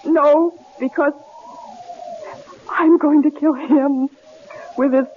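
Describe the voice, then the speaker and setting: A woman's voice: sits high, fairly even intonation, takes it slowly. A frightened, devoted woman held captive in an old radio thriller. She pleads earnestly, breaking up her phrases in desperation. The broadcast is muffled, with a faint layer of hiss. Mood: desperate resolve. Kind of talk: 1970s radio drama acting.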